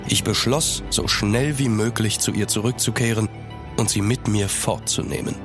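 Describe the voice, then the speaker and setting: A man acting an ardent, courteous gentleman traveller in a German gothic audio drama, earnest and measured. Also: fairly low pitch, over music, natural voice. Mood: grave, narrating.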